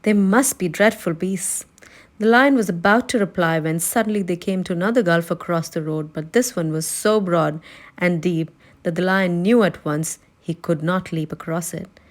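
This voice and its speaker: A woman's voice: lowish voice, normal speed. A woman narrating a children's novel as a read-aloud storyteller. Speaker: storybook reader.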